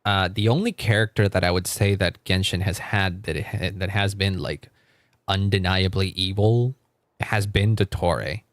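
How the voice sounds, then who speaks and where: A man's voice: low-pitched, normal speed, no echo, clean studio sound. A man doing casual live commentary on a game as a streamer, chatty and reacting aloud with exclamations and repeated words. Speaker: livestreamer.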